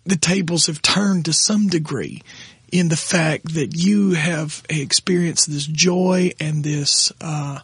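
Hoarse, gravelly man. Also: on the high side, steady tone, a bit bright, no echo, clean studio sound. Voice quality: raspy. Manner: halting, restarts often when moved. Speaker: pastor and podcast co-host. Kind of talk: casual conversation with his wife.

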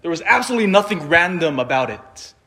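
Priest preaching, measured and emphatic man. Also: fairly high pitch, sing-song, sharp tone. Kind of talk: scripture reading and conversational homily.

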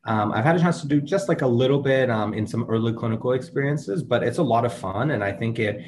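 A man's voice: lowish voice, darkish tone, slight background noise. A young man whose first language is Urdu, answering interview questions in an earnest, casual way with many ums and restarts. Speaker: interview guest, medical student.

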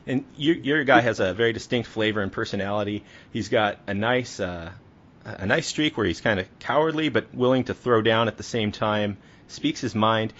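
A man's voice: on the low side, normal speed, fairly bright tone, highs cut off, slight background noise. A man working as a podcast interviewer, asking questions in a conversational style.

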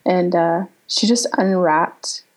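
A woman in her thirties from Pennsylvania, a writer guest who talks reflectively with frequent pauses and fillers. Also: fairly low pitch. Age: 30s. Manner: reflective, halting, many um fillers. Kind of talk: casual interview talk.

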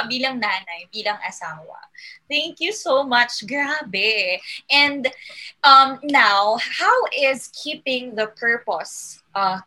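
A woman's voice: fairly high pitch, pushed and bright, rough recording. A woman with a Filipino accent hosting a podcast, affirming her guests and mixing English with Tagalog. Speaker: podcast host. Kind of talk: casual podcast chat.

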